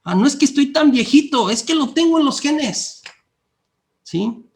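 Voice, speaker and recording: A middle-aged man from Guanajuato with a Mexican accent gives a lecture. His voice sits high for a man. He repeats his points and often stops to check that the listeners follow. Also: animated, measured pace. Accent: Mexican, mixed regional. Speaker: lecturer on theological anthropology. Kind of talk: classroom lecture.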